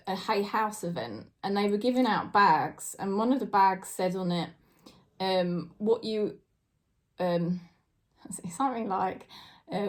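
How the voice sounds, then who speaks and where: A woman in her forties with a British accent, giving a casual tarot reading to camera and talking in a chatty, hesitant way with many fillers and soft laughs. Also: mid-range voice. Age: 40s. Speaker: tarot reader.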